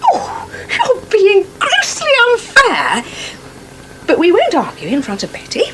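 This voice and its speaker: High-voiced, arch English wife. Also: female voice, huge pitch swings, measured pace, a bit bright, heavily acted. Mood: sarcastic. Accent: English, upper-middle-class. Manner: arch, sarcastic. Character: sarcastic, well-to-do English farmer's wife. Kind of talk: radio soap acting. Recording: slightly noisy 1980s radio broadcast.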